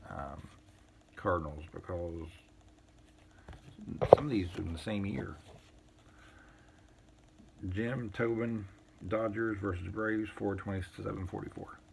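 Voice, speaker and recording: A man casually showing a collection on an unscripted video, reading items out in short, halting phrases with muttered asides. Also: low-pitched, vocal fry, a little mellow, slight background noise. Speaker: collector showing vintage baseball cards. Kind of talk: casual unscripted show-and-tell, reading out cards.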